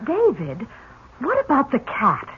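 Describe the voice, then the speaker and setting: A curious, anxious woman with a high voice and animated intonation. She is prodding and dramatic, in 1970s radio drama on a tinny recording. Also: measured pace, a little hiss or hum, exaggerated voice. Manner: dramatic, questioning.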